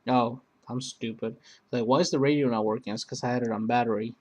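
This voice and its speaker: A man hosting a casual, unscripted product review, speaking in a halting, rambling way that often circles back on itself. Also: medium pitch, fairly even intonation, darkish tone, slight background noise. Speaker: video host reviewing gear.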